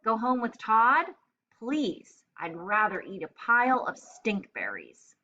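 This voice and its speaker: A grumpy pet parrot played by a woman reader tells its storybook diary with sarcastic, indignant flair. The delivery is bright and very animated, swinging from deadpan to exasperated, on a slightly dull recording. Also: fairly high pitch, slow pace, exaggerated voice. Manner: deadpan, exasperated, dramatic complaining. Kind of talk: children's storybook read-aloud in diary form.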